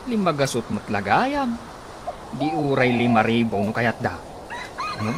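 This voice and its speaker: An actor playing a cocky, boastful young boyfriend in an Ilocano radio comedy drama. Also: male voice, mid-range voice, big pitch swings, measured pace, noisy background. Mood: boastful, casual. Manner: boastful, exclaiming fight cries.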